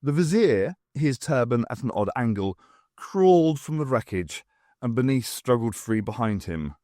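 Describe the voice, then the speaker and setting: Animated audiobook narrator, dry sound. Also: medium pitch, normal speed, no echo. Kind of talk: scripted fiction narration.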